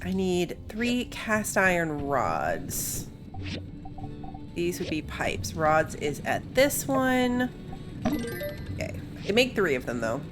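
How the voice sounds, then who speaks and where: A woman's voice: lowish voice, very animated, takes it slowly, pauses often, music in the background. A woman giving casual, chatty playthrough commentary as she plays a video game, thinking aloud as she goes. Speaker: gaming video host.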